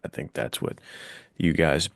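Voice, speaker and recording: A man's voice: unhurried, no room sound, studio quality. A man co-hosting a casual podcast discussion, explaining things with enthusiasm. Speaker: podcast co-host.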